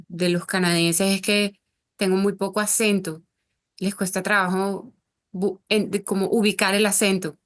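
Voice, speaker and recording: A Colombian woman speaking as an interview guest, earnest and reflective as she talks. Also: mid-range voice. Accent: Colombian Spanish. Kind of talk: casual interview conversation.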